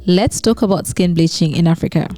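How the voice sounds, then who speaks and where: A woman's voice: on the low side, brisk, over loud music. A woman from Western Uganda, hosting her own channel in a chatty style full of "right?" and "you know". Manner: conversational, frequent tag questions and fillers. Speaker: YouTuber host. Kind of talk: casual commentary vlog.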